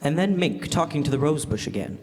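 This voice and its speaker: A man's voice: fairly high pitch, lively intonation, fast talker, darkish tone, lightly acted. A young man playing a formal, theatrical announcer and narrator of a 1940s-style radio drama. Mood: storytelling. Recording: echoey hall, live stage.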